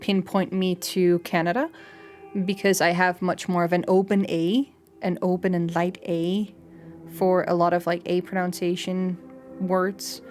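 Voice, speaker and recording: A woman's voice: on the low side, flat delivery, unhurried, darkish tone, soft background music. A young Danish woman with a light Danish-tinged English accent, a streamer rambling casually with lots of fillers and restarts. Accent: light Danish, mixed English accents. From Denmark. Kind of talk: casual chat with live chat.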